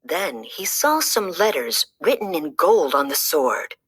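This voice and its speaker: A woman reading a children's storybook aloud, with a slow, animated storytelling manner. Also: slightly rough. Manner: slow, lively storytelling with dramatic pitch swings. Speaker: storybook reader. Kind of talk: children's read-aloud narration.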